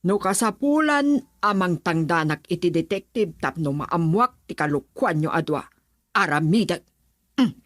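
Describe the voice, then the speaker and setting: An actress playing a stern, demanding middle-aged aunt in a radio drama. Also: female voice, on the low side, animated, measured pace, dry recording, clean studio sound.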